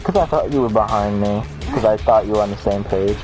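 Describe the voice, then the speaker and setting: A man's voice: fairly low pitch, fairly quick, over music, low-quality recording. A man giving casual, rambling, self-deprecating commentary while playing a video game. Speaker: let's play gamer.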